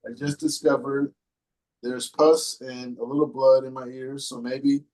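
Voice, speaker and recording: A male podcast host with a mellow, mid-pitched voice. He chats loosely and reads long passages aloud in a halting, even manner. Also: low-quality recording. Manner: laid-back, reads in a halting steady way. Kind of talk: casual chat and reading a listener post aloud.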